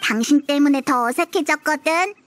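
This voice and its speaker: A woman as a very high, tiny fairy girl. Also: normal speed, faint music behind, lightly acted. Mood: grumbling. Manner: childlike, casual, blunt. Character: tiny childlike fairy girl companion, chirpy, blunt. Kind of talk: video game voice acting.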